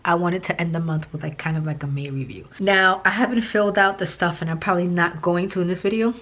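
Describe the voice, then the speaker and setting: Hoarse, low-voiced woman chatting. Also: quick pace, fairly bright tone. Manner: chatty, rambling, many fillers and restarts. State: hoarse, raspy from a lost voice. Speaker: planning vlogger. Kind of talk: casual chat while planning.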